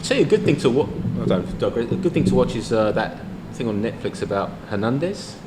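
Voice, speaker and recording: A man's voice: mid-range voice, sing-song, fairly quick, noisy background. An Australian man in a casual discussion, rambling and hesitant, often restarting his sentences. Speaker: podcast co-host. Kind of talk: casual pub-style discussion.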